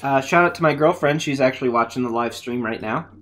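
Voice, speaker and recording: A man live-streaming a video game, chatting casually with viewers while he plays, his voice going hoarse from a long session. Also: mid-range voice, fast talker, fairly bright tone, faint music behind. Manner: chatty, thinking aloud while playing. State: losing his voice.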